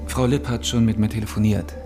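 Creaky, low-voiced gallery owner played by a man. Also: little pitch movement, fairly quick, music in the background, lightly acted. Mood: explanatory. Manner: informative, explanatory. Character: uneasy, explanatory male art gallery owner. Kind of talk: radio drama acting, measured dialogue.

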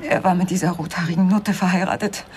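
Actress as tragic, bitter woman, halting. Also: on the low side, steady tone, darkish tone, a little hiss or hum, close to an everyday voice. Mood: anguished, bitter. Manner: halting, emotional. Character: tragic, bitter woman confessing a lost love. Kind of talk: German radio crime drama dialogue.

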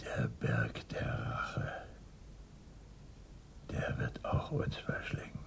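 An actor playing a weary, guilt-ridden craftsman in his sixties in a German radio play, halting and sighing, often sinking into breathy whispers. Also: rumbling low, a bit bright, heavily acted. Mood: exhausted, haunted. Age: 60s. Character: weary, guilt-ridden man of sixty-four, burnt-out taxidermist.

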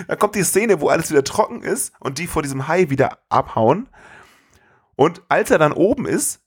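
A man co-hosting a podcast, chatting casually about films in an animated, incredulous way full of colloquial exclamations. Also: a little high, moderate pace, slightly rough. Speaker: podcast co-host. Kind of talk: casual film review chat.

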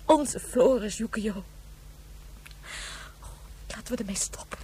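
A woman playing a grieving, reproachful mother in a radio play, with dramatic, halting, emphatic delivery. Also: medium pitch, dark tone. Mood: grieving, despairing. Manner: dramatic, halting, emphatic, drops to breathy whispers. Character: despairing, reproachful grieving mother of a lost child.

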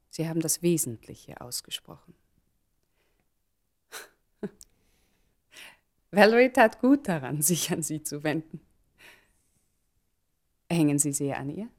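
An actress in a German radio play playing a poised, determined mother, speaking emphatically and deliberately. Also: low-pitched, lively intonation, a little mellow. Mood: earnest, frank. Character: poised, determined mother resolving to test her lover.

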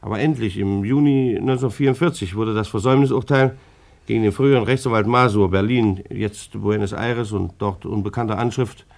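An actor in a radio play playing a plain-spoken, law-abiding bailiff with a hesitant, conversational manner. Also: male voice, fairly low pitch, fairly quick, gravelly, darkish tone. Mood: defensive.

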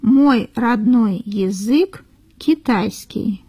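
Slow, careful woman reading model sentences. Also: a little high, husky, no room sound, highs cut off. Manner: careful, deliberate, repeats each phrase. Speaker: native-speaker model reader.

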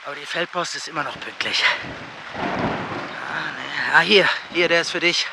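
An actor playing a gruff, weary German army comrade grumbling in a radio drama. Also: male voice, on the high side, a little slow. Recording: very loud vehicle noise behind. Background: vehicle noise.

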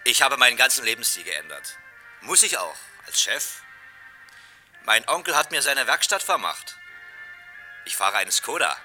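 Bright, keen-voiced man, wistful suitor. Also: medium pitch, big pitch swings, normal speed, pauses often, faint music behind. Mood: wistful, earnest. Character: wistful, boastful man courting his former girlfriend. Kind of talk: German radio drama acting.

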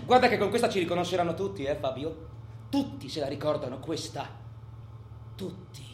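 An actor playing a menacing, taunting gang robber, in a theatrical radio drama monologue. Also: male voice, fairly high pitch, fairly quick, lightly acted. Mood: excited, boastful. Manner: theatrical, swinging from excited shouts to hushed insinuation. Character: menacing, taunting male gang robber addressing his silent accomplice. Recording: echoey stage room with background noise.

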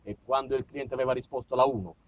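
A man with a southern Italian accent performing a comic monologue, theatrical and mock-pompous, moving between muttered asides and loud outbursts. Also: mid-range voice, fairly even intonation, quick pace, narrow, tinny audio. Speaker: stage monologist. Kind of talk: comic autobiographical storytelling to a live audience.

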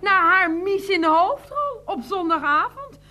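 An actress playing a sharp-tongued, exasperated housemate in a radio play, sarcastic and theatrical, repeating words for emphasis. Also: female voice, very high voice, animated, a little slow, full character voice. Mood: indignant.

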